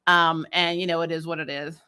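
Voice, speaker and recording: A woman hosting a recap vlog, chatting casually and answering comments with strong opinions, in an emphatic, blunt, animated manner that includes swearing. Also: low voice, a little fast, smooth. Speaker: host of a TV show recap vlog.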